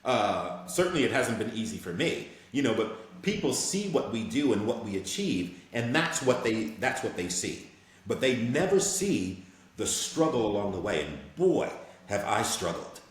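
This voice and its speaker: A man's voice: lowish voice, sing-song, moderate pace. A man who is a voice actor, speaking earnestly and reflectively as a guest in a casual interview, often checking that he is understood. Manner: reflective, earnest, often asks "you know what I'm saying". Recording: very echoey room with faint background noise.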